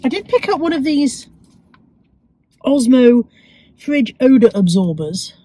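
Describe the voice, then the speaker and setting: A woman with a cold, sounding croaky and sniffly, chatting casually to viewers about her shopping with self-mocking asides. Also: high-pitched, very animated, a little slow, mellow, no room sound, low-quality recording. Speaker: vlogger. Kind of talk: chatty shopping haul vlog.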